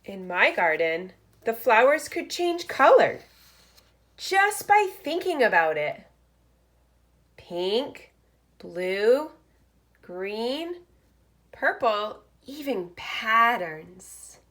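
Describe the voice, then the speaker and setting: Female read-aloud teacher for young children: expressive storyteller, unhurried pace, lively melodic range. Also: fairly high pitch. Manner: expressive, deliberate storytelling with short phrases and asides. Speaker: teacher reading aloud to first graders.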